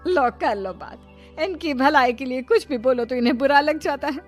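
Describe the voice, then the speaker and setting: A woman's voice: sits high, animated, moderate pace, soft background music. A woman playing a scheming, bossy young sister-in-law in a story, sarcastic and always complaining. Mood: aggrieved nagging. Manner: sarcastic, nagging.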